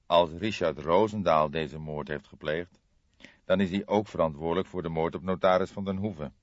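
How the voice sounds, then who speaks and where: A man voicing an older police detective in an audiobook, speaking in a deliberate, formal and ponderous manner. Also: low-pitched, no echo, lo-fi sound, natural voice. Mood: thoughtful, doubtful. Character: brooding old male police detective, deliberate, weighty, slightly formal. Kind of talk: audiobook character dialogue.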